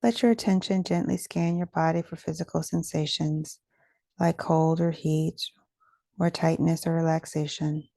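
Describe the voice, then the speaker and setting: A woman leading a guided meditation in a gentle, soothing, measured way, with pauses between phrases. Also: low-pitched, fairly even intonation, gravelly, no echo.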